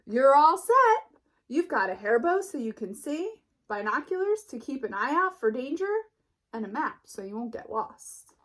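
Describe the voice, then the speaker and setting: Woman voicing a bubbly, high-pitched, bossy little sheep. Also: very animated, a little slow, fairly bright tone, heavily acted. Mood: brisk, pleased. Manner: chirpy, eager, exclamatory. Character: bubbly, bossy, self-assured female sheep. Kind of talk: picture book read-aloud.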